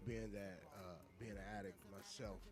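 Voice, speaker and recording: A man's voice: deep voice, fairly even intonation, normal speed. An American man co-hosting a casual live radio chat, talking emphatically and repeating himself for emphasis. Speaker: radio show co-host.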